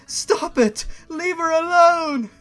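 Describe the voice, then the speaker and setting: A man's voice: very high voice, slow pace, fairly bright tone, faint music behind, pitched above the performer's own voice, heavily acted. A man voicing a distressed young princess held captive in a fan fiction story, pleading and guilt-ridden. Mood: desperate. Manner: pleading, apologetic. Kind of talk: creepypasta fan fiction character reading.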